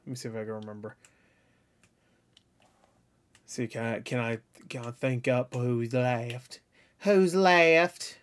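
A man giving casual, profane, joking reaction commentary in an animated way. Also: mid-range voice, takes it slowly, pauses often, a little hiss or hum. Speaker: reaction video host. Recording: game audio playing behind voice. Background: video game dialogue and music.

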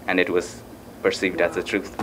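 A man's voice: deep voice, level tone, moderate pace, a bit bright, slight background noise, low-quality recording. A young man from Nepal with a Nepali accent, speaking informally in a panel discussion. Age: young adult. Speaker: student on a panel. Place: conference room, indoors.

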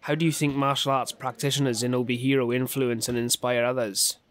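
Male interviewer, mid-pitched, reading prepared questions, faint noise behind. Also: level tone, a little slow, a bit bright.

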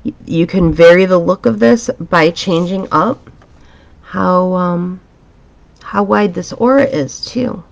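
A woman teaching a drawing pattern step by step in a calm, chatty, reassuring way, her voice a little raspy. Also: fairly low pitch, slow pace, muffled recording. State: slightly raspy from a dusty room. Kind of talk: relaxed step-by-step drawing tutorial. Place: home art studio, indoors.

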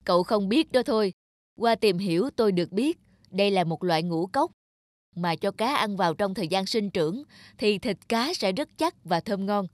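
A woman's voice: medium pitch, lively intonation, normal speed, dry recording, clean studio sound, close to an everyday voice. A woman playing an earnest, determined young male fish farmer in a cartoon. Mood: confident instructing. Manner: earnest, resolute. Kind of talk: cartoon dub acting.

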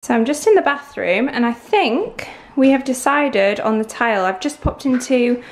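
A woman's voice: a little high, lively intonation, normal speed. Chatty woman vlogging a renovation. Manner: chatty, enthusiastic, lots of likes and ums. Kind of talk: casual home renovation vlog. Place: house under renovation, indoors. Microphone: camera held or set close, front-facing.

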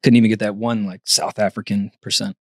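Male podcast host, 30s, rambling deadpan asides, medium voice, close dry studio mic. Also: lowish voice, brisk, no echo. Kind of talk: casual banter.

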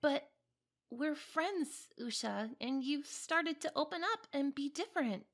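A woman in an audio drama playing an earnest, excitable, kindhearted young female spirit companion, perky and informal. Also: high-pitched, measured pace, heavily acted. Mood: earnest, pleading.

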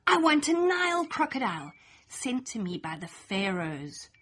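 Bright, animated woman reading aloud. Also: fairly high pitch, moderate pace, husky. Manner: lively, playful, sing-song storytelling. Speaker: storybook reader. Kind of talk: expressive read-aloud children's story in rhyme.

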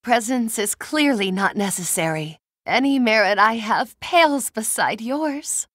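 A meek, gloomy young noblewoman from a video game. She speaks slowly and formally, forever apologetic and down on herself. The recording is dry and close. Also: on the high side, animated, fairly bright tone, no room sound. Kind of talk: short battle voice clips.

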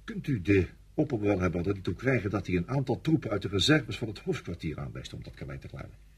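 An actor playing a weary French divisional general in a radio drama, gruff, sardonic and grumbling, yet deferential to his superior. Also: fairly low pitch, very animated, fast talker, heavily acted. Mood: weary, reluctant.

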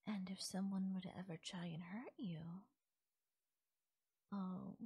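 A woman's voice: mid-range voice, fairly even intonation, unhurried, dark tone, slightly rough, dry recording, studio quality. A woman playing a possessive, doting fairy captor in an intimate ASMR audio roleplay, speaking sweetly and lilting, sometimes nearly whispering. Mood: soft, possessive.

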